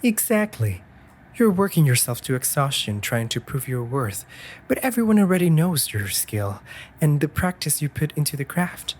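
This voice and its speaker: A man playing a caring, anxious raven familiar in a comforting audio roleplay, articulate and gently scolding in formal phrases. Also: a little high, very animated, normal speed. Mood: concerned, chiding.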